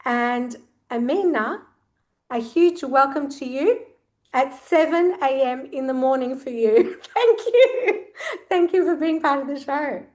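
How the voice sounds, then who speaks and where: A woman's voice: sits high, expressive, unhurried, darkish tone. A woman speaking as a podcast host, gracious and effusive as she introduces and interviews a guest. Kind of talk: warm formal introduction and interviewing.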